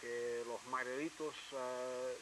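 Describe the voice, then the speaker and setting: A man's voice: mid-range voice, fairly even intonation, slow. A man from Miami with an American English accent, a native English speaker answering in hesitant Spanish as an interview guest. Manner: hesitant, filler-heavy. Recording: hissy TV broadcast audio.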